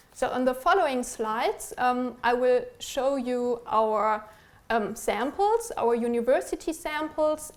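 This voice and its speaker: Female presenter, fairly high voice, academic researcher delivering prepared slides in a measured, explanatory way. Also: a little mellow.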